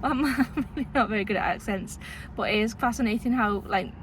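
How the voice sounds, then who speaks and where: Vlogger woman with a softened Liverpool accent, rambling and chatty, a touch high-pitched, recorded on a phone with traffic noise behind. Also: fairly quick. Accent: soft Liverpool with Lancashire influence. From Liverpool, England. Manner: rambling, chatty, with frequent fillers and laughs. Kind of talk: casual vlog chat. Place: town street, then in a parked car.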